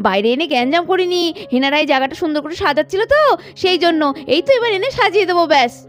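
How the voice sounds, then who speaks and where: A woman's voice: high voice, animated, rapid-fire, soft background music. A woman voicing chatty, bustling young village women of a household in a children's doll cartoon. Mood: reassuring.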